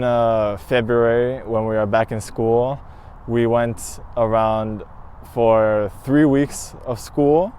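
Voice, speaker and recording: A teenage high school student answering interview questions casually, with frequent pauses and fillers. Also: male voice, a little hiss or hum. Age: teens. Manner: relaxed, hesitant with fillers.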